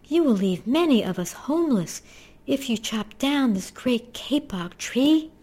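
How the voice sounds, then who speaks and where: A tiny storybook tree frog voiced by a woman speaks in a high, squeaky voice. He is small, earnest and pleading, lifted well above a normal speaking pitch. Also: animated, unhurried, mellow, slightly rough, exaggerated voice. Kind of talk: storybook character voice.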